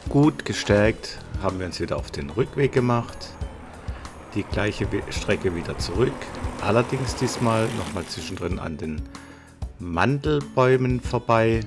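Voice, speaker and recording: A man's voice: on the low side, measured pace, over music. A man in his fifties chatting casually inside a camper van and narrating a travel vlog. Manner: relaxed, chatty, agrees often. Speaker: travel vlogger. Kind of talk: casual chat and voice-over narration.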